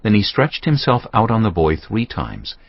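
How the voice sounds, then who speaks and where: A man's voice: lowish voice, wildly swooping pitch, normal speed, no room sound, lo-fi sound. A man narrating scripture as a scripted drama, in a solemn storytelling manner.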